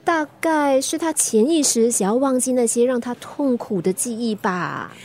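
A woman's voice: on the high side, expressive, moderate pace, darkish tone. An actress playing a worried, nagging teenage schoolgirl in a radio drama, speaking with a Singaporean accent. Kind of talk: Mandarin radio drama acting.

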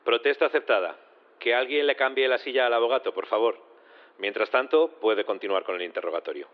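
An actor playing a male judge in a courtroom audio drama, formal, brisk and authoritative. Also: mid-range voice, phone-quality sound, lightly acted. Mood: formal, commanding. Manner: formal, commanding.

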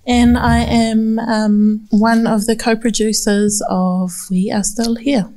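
Kiwi woman introducing herself on a panel, fairly high voice. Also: flat delivery, slow, warm tone. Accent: New Zealand. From New Zealand. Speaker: film co-producer. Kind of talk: live self-introduction.

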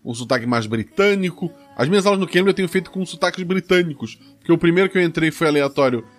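Chatty Brazilian-born game master. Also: male voice, animated, fast talker. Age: adult, played RPGs since youth. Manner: chatty, informal, persuasive pitch. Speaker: game master and podcast host. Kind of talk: podcast ad read and rules intro.

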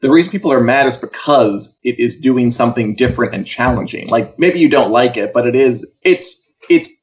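A man's voice: mid-range voice, expressive, brisk. Male co-host, casual pop-culture chat, mid-range voice on slightly muffled lo-fi audio. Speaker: co-host of an entertainment news show.

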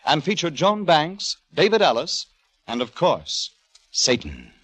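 A man's voice: a little high, huge pitch swings, measured pace, over loud music. A man announcing in a polished, emphatic 1950s radio commercial style.

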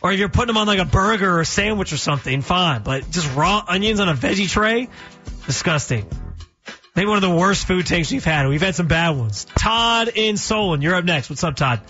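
A young man runs a call-in sports radio show, his high, bright voice cracking now and then as he jokes his way through opinions. The broadcast sound is a little muffled, with faint music underneath. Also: animated. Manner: casual, joking, quick opinions. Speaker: fill-in radio host.

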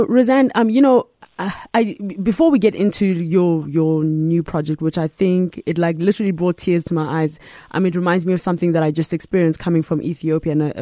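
Rambling, enthusiastic woman host, mellow voice. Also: on the low side, no room sound, clean studio sound. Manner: enthusiastic, rambling questions with many fillers. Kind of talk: casual interview talk.

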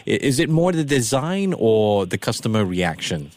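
A man hosting a radio interview show, upbeat and animated, laughing often as he talks. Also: mid-range voice, normal speed, no echo. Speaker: radio host. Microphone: studio microphone.

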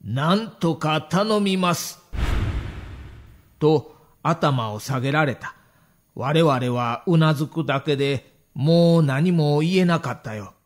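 A solemn, formal senior executive in a documentary drama. The voice sits slightly high and moves slowly and weightily, ringing with long hall reverb. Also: male voice. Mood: heavy, resigned. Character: solemn senior male baseball club executive making statements.

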